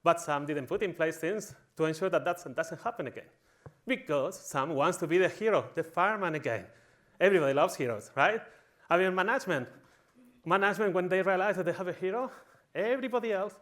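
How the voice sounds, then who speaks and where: A man's voice: high-pitched, a bit bright. Spanish-accented man giving a tongue-in-cheek talk. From Spain. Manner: tongue-in-cheek, mock-villainous. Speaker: conference speaker. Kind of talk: humorous conference talk to a live audience.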